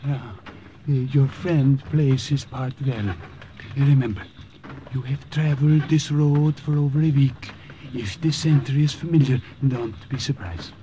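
Man playing a slow, halting older Norwegian resistance man. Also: mid-range voice, warm tone, a little hiss or hum, close to an everyday voice. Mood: wary. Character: cautious older Norwegian underground leader, dry and deferential. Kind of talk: old-time radio drama acting. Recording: dull old radio recording.

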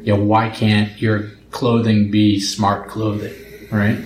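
A middle-aged man hosting an endurance sports podcast, talking casually and chiming in with quick agreement. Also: fairly low pitch, level tone, darkish tone, a little hiss or hum, rough recording. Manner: conversational, frequent "yeah" and "right" backchannels. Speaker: podcast host. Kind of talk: casual interview and solo segment.

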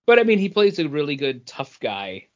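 A man's voice: on the high side, big pitch swings, moderate pace, lo-fi sound. A man co-hosting a podcast, chatting casually about geek culture and often searching for his words. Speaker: podcast co-host.

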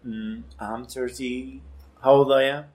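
A man in his thirties from Iran, a musician guest speaking halting non-native English with many restarts in a casual interview chat, his first language Persian. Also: medium pitch, fairly even intonation, a little mellow, echoey room. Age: 30s.

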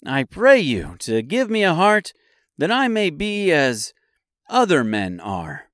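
Man as an earnest tin woodman, pitched high. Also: wildly swooping pitch, takes it slowly, fairly bright tone, no echo, studio quality, full character voice. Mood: pleading. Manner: pleading, earnest. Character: earnest male tin woodman, hopeful and polite.

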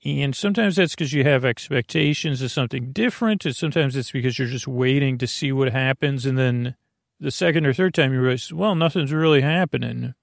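A man with a creaky, gravelly voice hosting a sleep podcast in a meandering bedtime monologue, halting, absent-minded and self-deprecating. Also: mid-range voice, dry recording, clean studio sound.

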